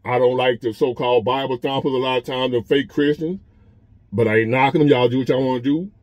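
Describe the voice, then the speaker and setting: A man's voice: mid-range voice, fairly even intonation, brisk, a little mellow, dry recording, dull audio. A man, talking earnestly and repetitively to his phone camera from a parked truck, like a casual motivational talk. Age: 50s. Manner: earnest, repetitive, conversational preaching. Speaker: vlogger.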